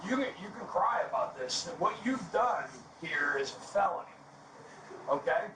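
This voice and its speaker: A man's voice: sits high, lively intonation, normal speed. A male police officer talking in a stern, blunt, lecturing way on bodycam audio. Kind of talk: stern explanatory talk. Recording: distant, echoey, noisy bodycam audio.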